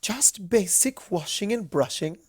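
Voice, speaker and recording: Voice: medium pitch, animated, a little slow, husky, voice pushed up. A narrator voicing a refined, eager-to-please female unicorn in a storybook reading, with prim, gushing politeness. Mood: curious. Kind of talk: storybook character voice.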